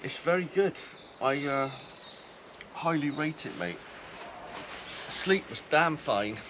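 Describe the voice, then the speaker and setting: A man's voice: on the high side, animated, takes it slowly. A man with a Welsh-sounding accent, a camping companion chatting cheerily in the woods, off-mic. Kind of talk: casual chat. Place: woodland camp outdoors. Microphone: off-mic, picked up by another's camera. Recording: distant voice, outdoor wind noise.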